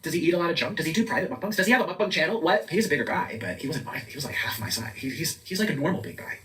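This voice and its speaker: A man chatting rapidly in a talking-head video, filling his talk with many likes. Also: on the high side, animated, fairly bright tone, recorded from a distance. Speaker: content creator. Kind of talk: casual talking-head chat.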